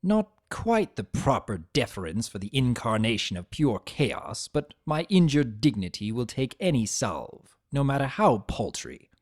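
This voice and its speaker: A man's voice: medium pitch, sing-song, measured pace, few pauses. A man reading fan fiction as a dramatic, scripted first-person narration.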